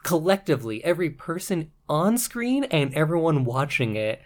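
A woman's voice: low-pitched, very animated, normal speed. A woman with a Canadian accent, co-hosting a casual podcast chat in a frank way, swearing now and then. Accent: Canadian English. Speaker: podcast co-host.

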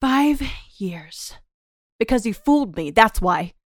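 A woman's voice: a little high, animated, takes it slowly, no room sound, studio quality. A woman playing a scornful, taunting gold-digging wife in a theatrical reading of a 1930s radio melodrama, with slangy delivery. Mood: mocking scorn. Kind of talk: 1930s radio horror melodrama table read.

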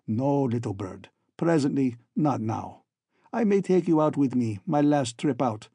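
A man's voice: medium pitch, lively intonation, a little slow, warm tone, husky, no echo. A man voicing a falsely friendly, scheming hunchback villain in a story, oily and ironic, with stilted foreign-sounding phrasing. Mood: ingratiating.

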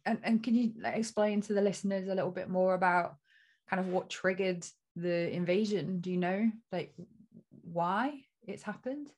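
A woman hosting an interview, asking gentle questions and murmuring agreement. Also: lowish voice, normal speed. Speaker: podcast host.

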